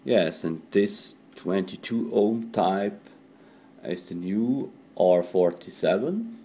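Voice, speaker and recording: A non-native English-speaking man giving an improvised hands-on electronics tutorial at a workbench, talking haltingly in short phrases. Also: deep voice, animated, warm tone, slight background noise. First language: not English. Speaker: electronics hobbyist demonstrating a radio modification. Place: indoors at a workbench.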